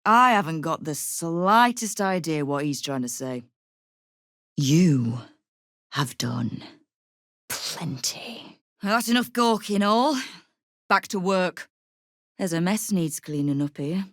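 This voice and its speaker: Game role: hard-bitten female guild boss. Low voice, sardonic and in command, very dry studio sound. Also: lively intonation, takes it slowly, long pauses, a bit bright, no echo. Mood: guarded. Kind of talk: video game character dialogue.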